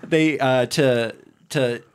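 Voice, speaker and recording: A man's voice: medium pitch, fairly even intonation, extremely slow, clear, resonant tone. A man co-hosting a casual movie podcast, recapping the plot with frequent laughs and restarts. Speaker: podcast co-host.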